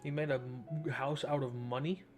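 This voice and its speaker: A man's voice: mid-range voice, fairly even intonation, normal speed. A man hosting a gameplay video with casual, informal commentary, reacting in short asides. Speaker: gaming video host. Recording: soft game music behind.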